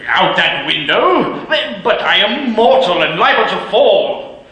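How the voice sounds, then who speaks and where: An actor playing an elderly miser in a radio drama, stammering and swinging between hushed remorse and giddy delight. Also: male voice, sits high, very animated, slow pace, exaggerated voice. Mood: bewildered. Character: elderly miser man, shaken and regretful. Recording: echoey, faint hiss.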